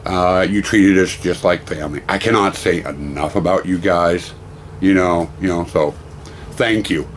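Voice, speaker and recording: A hoarse, slightly raspy middle-aged man talks at length in a low voice, rambling and effusive as he recounts and thanks people. He sounds a bit worn out, and there is a faint hum of room ambience around him. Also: measured pace. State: hoarse, losing his voice. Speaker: vlogger. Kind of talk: casual vlog talk.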